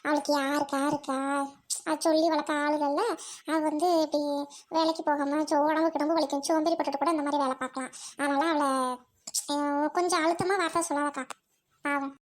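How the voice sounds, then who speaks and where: A woman's voice: high-pitched, little pitch movement, a little fast, natural voice. A woman voicing a loyal, kind-hearted young village girl in a drama, who reasons and pleads in colloquial speech. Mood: soothing, reasoning. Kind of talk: village comedy-drama acting.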